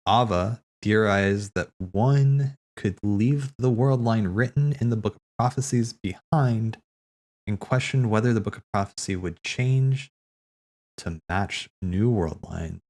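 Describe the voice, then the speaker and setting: A man's voice: on the low side, darkish tone. A man streaming casual, rambling commentary, thinking aloud as he hosts, often repeating his words. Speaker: streamer hosting a watch party.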